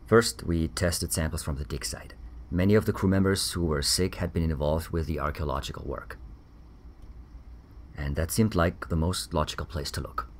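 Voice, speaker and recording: An actor playing a weary, defensive ex-army doctor in a grave, measured audio drama interview. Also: male voice, rumbling low, slight background noise.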